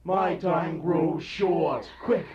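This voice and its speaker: A man's voice: a little high, steady tone, drawn-out words. Solemn male spirit, played by a man, declamatory and stern, dull far-off live recording for radio drama. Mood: stern, commanding. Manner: declamatory, commanding, formal. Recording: dull, echoey, distant, loud audience noise.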